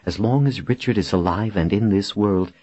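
A man's voice: lowish voice, moderate pace, mellow, no echo, dull audio, voice pushed up, lightly acted. A man voicing a resolute, reassuring woman leader in an audiobook, speaking firmly and earnestly. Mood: earnest explaining.

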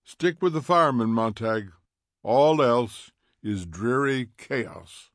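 A man voicing a smug, needling fire captain who mocks in quick theatrical bursts, in an audiobook reading. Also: medium pitch, animated, no echo, studio quality. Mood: taunting. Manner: taunting, theatrical, fast mocking bursts.

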